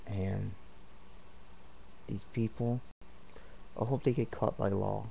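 A man's voice: fairly low pitch, steady tone, slow, creaky, mellow, a little hiss or hum. A man ranting indignantly and at length in a home video, repeating himself as he rambles. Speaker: vlogger. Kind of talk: angry home video rant. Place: home apartment.

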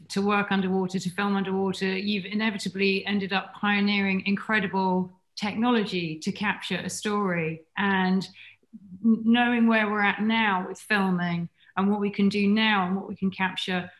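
A woman's voice: medium pitch, roomy sound, rough recording. A middle-aged woman moderating a panel on a video call, asking long, layered questions.

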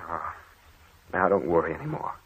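Low-voiced, reassuring radio drama hero. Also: male voice, big pitch swings, moderate pace. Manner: reassuring, earnest. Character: calm man soothing a frightened woman. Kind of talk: old-time radio drama acting. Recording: dull, noisy old radio recording.

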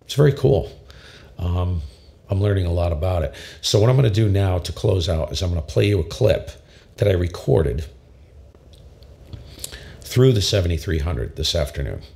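Deep gravelly-voiced man. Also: animated, normal speed, long pauses, warm tone. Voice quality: very low voice. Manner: enthusiastic, emphatic, repeats himself. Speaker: ham radio hobbyist explaining audio gear. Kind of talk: casual tutorial to camera. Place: home radio shack, small indoor room. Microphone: studio condenser mic with pop filter.

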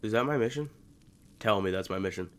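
A young man casually commentating a video game as he plays, chatty and joking, with sudden loud outbursts. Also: on the low side, brisk. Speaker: gaming YouTuber. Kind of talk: casual let's-play commentary.

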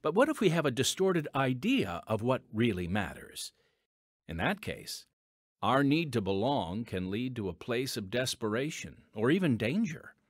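A man's voice: medium pitch, big pitch swings, normal speed, no echo, studio quality. A man hosting a podcast, delivering a scripted introduction. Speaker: podcast host. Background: music.

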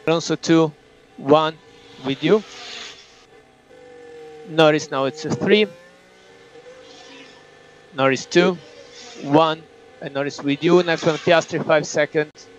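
A male race engineer speaks over a dull team radio link with faint noise. His voice is fairly high, and he gives short, clipped instructions and countdowns to his driver. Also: slow, pauses often.

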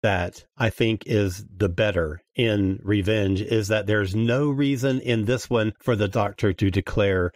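A man with a fairly low voice talks casually as a guest on a podcast. His phrasing is informal and colloquial. The sound is dry, with very little room in it. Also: measured pace, hardly any pauses, a little mellow, dry recording. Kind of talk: casual chat, discussing an audio drama.

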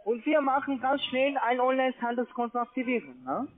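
Male phone sales agent, non-native with foreign accent, high pitch, lo-fi line. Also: very animated, brisk. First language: not German. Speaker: call centre agent. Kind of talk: sales call, walking customer through steps.

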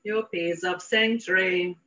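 A woman leading a guided meditation in a soothing, deliberate manner with repeated phrases. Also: on the low side, steady tone, pushed and bright. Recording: dull lo-fi audio.

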